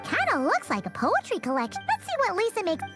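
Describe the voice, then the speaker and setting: A super high squeaky lil fairy sidekick, excitable and nosy, bouncy pitch, game music behind. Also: female voice, fairly quick, heavily acted. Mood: curious, suspicious. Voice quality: squeaky, childlike. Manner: exclaiming, speaks of self by name. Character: tiny childlike fairy companion, excitable, nosy and chirpy. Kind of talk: scripted video game voice acting.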